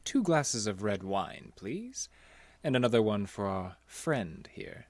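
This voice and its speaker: A man's voice: lowish voice, very animated, slow, a little mellow, no echo. A man playing a courtly, sly and mysterious vampire stranger in a horror audio drama, formal and elaborately polite. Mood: smooth courtesy.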